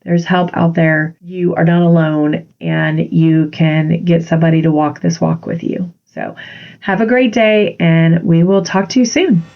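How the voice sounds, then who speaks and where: A woman's voice: lowish voice, fairly even intonation, unhurried, creaky. A woman with a congested voice hosting a solo podcast, speaking earnestly and encouragingly as a confidence coach. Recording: dull lo-fi recording.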